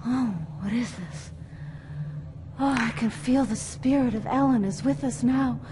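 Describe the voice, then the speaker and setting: A woman's voice: a little high, unhurried, natural voice. A woman playing an intense, theatrical middle-aged spirit medium, solemn and dramatic, in a video game cutscene. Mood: relieved, fervent. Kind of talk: live-action video game cutscene acting. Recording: clearly noisy backdrop.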